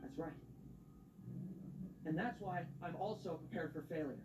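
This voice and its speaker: A man's voice: fairly high pitch, normal speed, close to an everyday voice. A man playing a cool, cynical young schemer in a video game, detached and blunt. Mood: cool, calculating. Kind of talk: English video game dub acting. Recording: roomy, distant game audio with faint noise.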